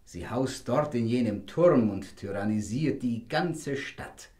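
An actor playing a fearful town coppersmith in a fairy-tale audio drama. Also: male voice, mid-range voice, expressive, slow, mellow. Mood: troubled. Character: fearful townsman, a male coppersmith. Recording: roomy, echoing studio sound.

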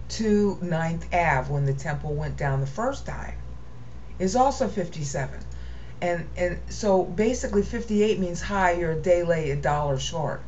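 A low-pitched American woman lectures over a screen-share, thinking out loud and pausing to ask "okay?". She is recorded on a dull, lo-fi desktop mic with faint hiss behind her. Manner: thinking aloud, rambling, frequent "okay?" checks. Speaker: Bible teacher.

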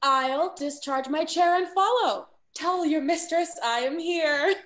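Woman playing a high-voiced, flustered scheming gentlewoman. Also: slow. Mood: putting on composure. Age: young adult. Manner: theatrical, arch. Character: flustered, scheming young gentlewoman of Restoration London. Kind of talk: Restoration comedy stage acting over video call. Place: small room at home. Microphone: video call.

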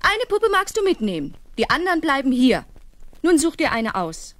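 High-voiced, animated mother. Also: moderate pace, no echo. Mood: firm, exasperated. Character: caring, firm mother of a little girl. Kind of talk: children's radio play acting.

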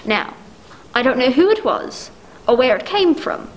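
A woman's voice: sits high, sing-song, unhurried, rough recording. A woman playing a nervous, indignant, imperious housewife who stammers insistently, in 1940s radio play style. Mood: urgent. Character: nervous, demanding, indignant wealthy housewife alone at night. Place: dark stage, masked, speaking into prop telephone.

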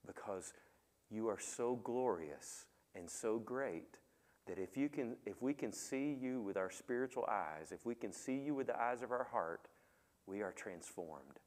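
A middle-aged male pastor with a fairly low voice, speaking on a headset mic in a church sanctuary. His words ring out with the long reverb of the hall. He chats with the congregation and asks them questions, and his prayers turn soft and hushed. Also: animated, measured pace. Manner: soft, quiet when praying. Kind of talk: sermon with opening prayer.

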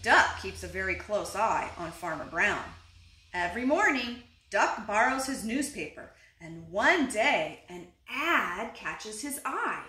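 A woman in her thirties reading a children's picture book aloud expressively, deliberately phrased, now and then putting questions to young listeners. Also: medium pitch, lively intonation, pushed and bright. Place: living room indoors, seated on a couch. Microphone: camera a short distance away. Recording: roomy indoor echo.

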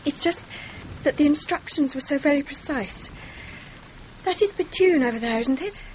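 A woman's voice: high-pitched, expressive, moderate pace. An actress playing a polite, earnest, anxious young well-bred woman in a radio drama dialogue. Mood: hesitant, polite. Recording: dull, faintly noisy, outdoor ambience.

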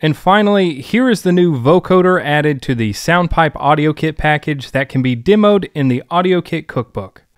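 A man's voice: a little high, lively intonation, normal speed, few pauses, clear, resonant tone, no echo. Dry-sounding man narrating a tech review. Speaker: host of synth app demo videos.

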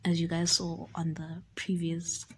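A young South African woman talking through her makeup routine in a soft, often half-whispered, unhurried way, in a small room. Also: on the low side, monotone, slight background noise. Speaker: beauty YouTuber. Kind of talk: casual makeup tutorial talk.

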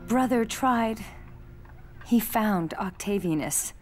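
An actress playing a troubled but determined young Roman woman in a video game, speaking gravely and earnestly. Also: medium pitch, expressive, slow. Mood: anxious, resolute. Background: music.

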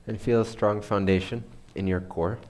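Deep voiced guy, teaching a guided meditation, takes his time, pauses to find words. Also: fairly even intonation, a little mellow. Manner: unhurried, searching for words.

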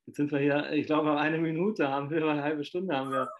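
A man's voice: on the high side, steady tone, brisk, highs cut off. A man in his fifties interviewing over a video call from a small room, talking in a rambling, effusive way full of fillers. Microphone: laptop webcam on video call.

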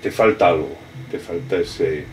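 Slow, deep-voiced middle-aged man, halting. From raised in France. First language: French. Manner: halting, many restarts and fillers. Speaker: artist. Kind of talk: interview answers. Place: cluttered studio with computer and speakers. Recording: distant mic, audible room noise.

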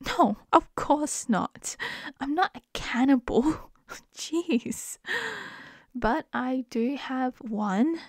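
Aussie lady doing close mic asmr, super slow and gravelly, teasing and giggly. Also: high voice, expressive, no room sound. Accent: Australian. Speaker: ASMR roleplay performer.

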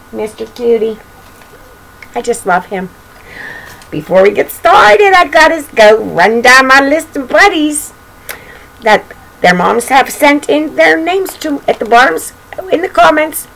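Older female kids' storybook host: playful, singsong, swooping intonation with plenty of gravelly creak, homemade webcam sound. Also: high-pitched, animated, measured pace, a bit bright, low-quality recording. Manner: singsong, playful, addressing little children. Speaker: children's storybook reader and show host. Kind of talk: read-aloud storytelling with chatty asides to young children. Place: bedroom at home.

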